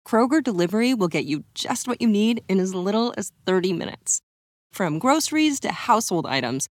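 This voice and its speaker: A woman's voice: mid-range voice, no room sound, clean studio sound. A woman reading scripted advertising copy as a commercial voice-over. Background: music bed.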